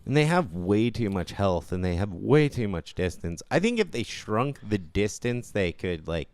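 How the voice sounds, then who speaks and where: A man's voice: fairly low pitch, big pitch swings, moderate pace, darkish tone, clear, resonant tone, no echo. A man co-hosting a podcast in casual gaming chat, informal and opinionated, given to complaining. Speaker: podcast co-host.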